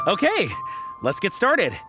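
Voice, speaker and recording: A man's voice: high voice, huge pitch swings, very slow, full character voice. A man voicing a friendly, proud ship's lookout in a video game, chatty and explanatory, with music behind him. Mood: friendly, eager. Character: friendly, proud male ship's lookout teaching weather lore. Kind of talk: scripted video game dialogue.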